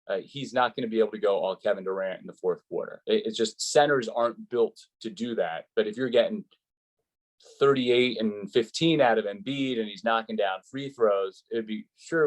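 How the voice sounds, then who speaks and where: A man's voice: on the low side, rough recording. A man who works as a sports announcer, speaking as an interview guest in an articulate, explanatory, conversational way.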